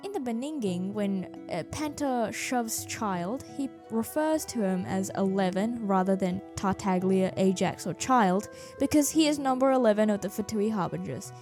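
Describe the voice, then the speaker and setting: A woman's voice: mid-range voice, moderate pace, over music. A woman narrating a video about her animation work in a casual, jokey, self-deprecating way. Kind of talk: casual scripted video commentary.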